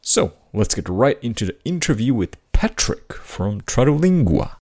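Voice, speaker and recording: A man hosting an interview, introducing his guest and asking questions with lively emphasis. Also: medium pitch, normal speed. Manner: lively, rising intonation on key words. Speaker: interview host.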